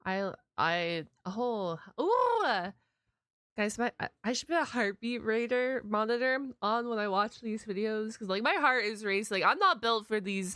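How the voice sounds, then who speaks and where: Bright, expressive woman reacting casually. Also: mid-range voice, smooth, dry recording, studio quality. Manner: expressive, exclaiming, slangy. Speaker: reaction video host. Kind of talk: casual live reaction commentary.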